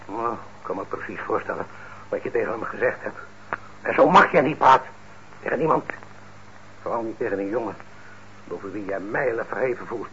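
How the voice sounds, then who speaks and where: Stern, gravelly low-voiced father. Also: pushed and bright. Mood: indignant, reproachful. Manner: measured, admonishing, deliberate. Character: stern, principled father and craftsman, indignant but fair. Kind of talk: radio drama acting. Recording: muffled old radio recording, faint noise.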